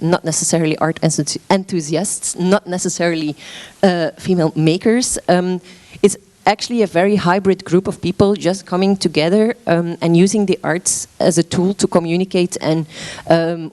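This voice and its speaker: A woman gives a conference presentation in a fairly low voice. She speaks hesitantly, pausing often with filler sounds and restarting her sentences. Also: darkish tone. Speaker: arts organization representative presenting at a meeting.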